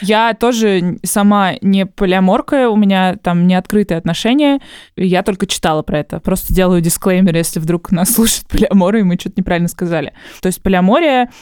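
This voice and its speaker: A woman's voice: medium pitch, brisk, darkish tone, clear, resonant tone, no room sound. Brief: woman in her twenties, playful podcast host, dry studio sound. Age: 20s. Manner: playful, laughs often. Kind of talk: casual comedic podcast chat.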